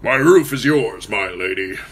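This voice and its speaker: A man doing a gruff burly lord. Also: lowish voice, expressive, measured pace, a bit bright, full character voice. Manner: gruff and formal. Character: gruff massive middle-aged lord, stiff.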